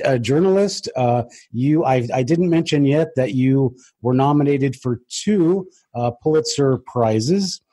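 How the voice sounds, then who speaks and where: A man hosting a podcast in a conversational way, with a somewhat halting delivery. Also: medium pitch, warm tone, no room sound. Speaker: podcast host.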